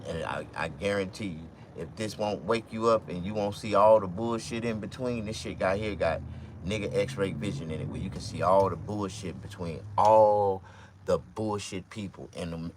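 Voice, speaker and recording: A man around fifty with a Mississippi accent, talking to camera in a loose, profane, rambling monologue with sudden loud outbursts. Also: low-pitched, normal speed, slight background noise. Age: 50s. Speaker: vlogger and stand-up comedian.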